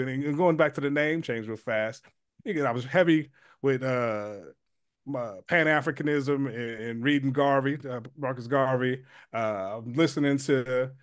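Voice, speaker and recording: A man's voice: medium pitch, clear, resonant tone, dry recording, studio quality. A man in his forties, a community leader, answering as an interview guest in an earnest, reflective way with many fillers and restarts. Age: 40s.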